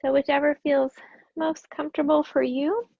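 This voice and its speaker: Yoga teacher, female, high-pitched and raspy, gentle breath cues, dry and dull lo-fi sound. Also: normal speed, dry recording. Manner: calm, gentle cueing with breath. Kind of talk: guided yoga class instruction.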